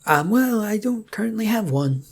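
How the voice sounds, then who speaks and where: A woman's voice: medium pitch, animated, moderate pace, gravelly, pitched above the performer's own voice. A woman voicing a small, timid bridge troll in a fantasy story, nervous and hesitant.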